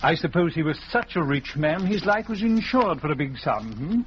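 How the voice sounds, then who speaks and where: An actor playing a self-assured, pompous country doctor who speaks formally, in the style of a 1940s radio mystery. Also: male voice, on the high side, lively intonation, moderate pace, close to an everyday voice. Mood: affable. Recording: dull, noisy old radio recording.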